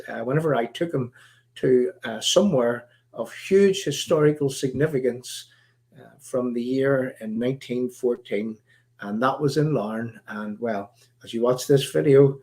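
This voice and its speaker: A man with a Northern Irish accent hosting a live stream, talking in a halting, rambling way full of fillers, his voice croaky. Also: mid-range voice, a little mellow. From Northern Ireland. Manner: halting, many fillers and restarts. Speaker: livestream host.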